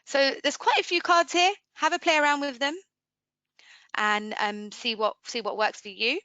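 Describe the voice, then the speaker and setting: A woman giving an informal software demo as a webinar trainer, explaining conversationally and putting questions to listeners. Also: high-pitched, normal speed, dry recording. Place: indoors, screen-share webinar voice-over.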